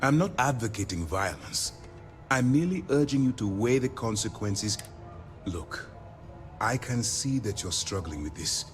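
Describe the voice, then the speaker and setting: An actor playing a stern, measured military commander in a video game, speaking with grave, deliberate authority. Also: male voice, fairly low pitch, animated. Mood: earnest urging. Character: stern, measured male military commander briefing an undercover operative. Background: background music.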